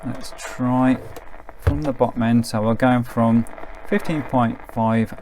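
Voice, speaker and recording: A man's voice: mid-range voice, fairly even intonation, slow, darkish tone, slight background noise, low-quality recording. A man giving a casual, unscripted product demonstration as a reviewer, explaining things informally with loose, hedging phrases.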